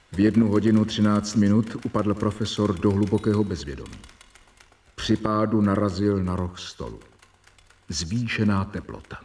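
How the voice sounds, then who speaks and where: An actor in a radio play, playing a young doctor who narrates his medical journal in measured, reporting tones. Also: on the low side, a little mellow, distant mic. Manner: measured, reporting dates and symptoms.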